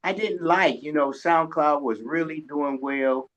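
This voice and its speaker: Slow-talking man hosting, dull lo-fi sound. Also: fairly high pitch, a bit bright. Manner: halting, repeats words. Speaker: radio show host and interviewer. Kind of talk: casual interview chat. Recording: dull lo-fi recording.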